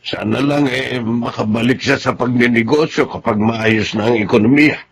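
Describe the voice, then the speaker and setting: An actor playing a gentle, concerned older man, a future grandfather with a husky voice, in a Tagalog radio drama. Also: medium pitch, moderate pace. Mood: concerned, reflective.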